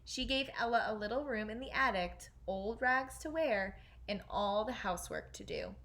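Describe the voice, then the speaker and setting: A woman teacher reading a children's fairy tale aloud in an expressive storytelling style. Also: mid-range voice, normal speed.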